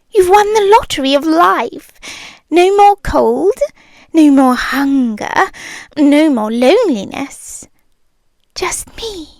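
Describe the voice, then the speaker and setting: A woman with a British accent voicing a possessive, obsessive rich young girlfriend. She speaks in a soft, breathy whisper, slow, intimate and menacingly sweet, close to the microphone. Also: sits high, no echo, full character voice. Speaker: ASMR roleplay performer. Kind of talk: ASMR roleplay.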